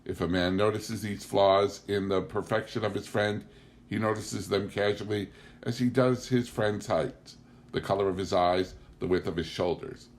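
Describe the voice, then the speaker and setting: A man's voice: on the low side, fairly even intonation, normal speed. A man reading a literary memoir aloud as an audiobook narrator. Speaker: audiobook reader.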